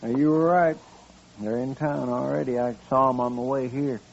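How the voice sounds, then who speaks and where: A man's voice: medium pitch, fairly even intonation, moderate pace, creaky, lightly acted. A man playing a kindly, deferential deputy in an American radio western, speaking in a folksy manner. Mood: kindly, respectful. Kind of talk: 1950s radio western acting. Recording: muffled, noisy old-time radio recording.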